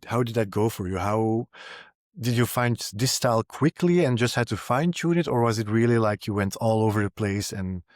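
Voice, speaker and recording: A man speaks as a podcast host in a fairly low voice. The sound is dry, without room echo. He chats casually and hesitantly, rambling and repeating words as he builds each thought. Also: animated, moderate pace. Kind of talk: casual interview introduction and chat.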